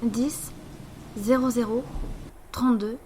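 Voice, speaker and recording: A woman's voice: fairly high pitch, fairly even intonation, slow pace, a little mellow, a little hiss or hum. A woman playing a reluctant, grudging seventeen-year-old girl in an amateur audio drama. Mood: reticent. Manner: hesitant, grudging. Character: sullen, reluctant seventeen-year-old girl who lost her sight.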